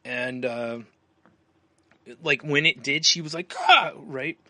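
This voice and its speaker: A man's voice: a little high, takes it slowly, pushed and bright, dry recording. A man co-hosting a podcast, telling casual comedic anecdotes in a joking way, often chuckling. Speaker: podcast co-host.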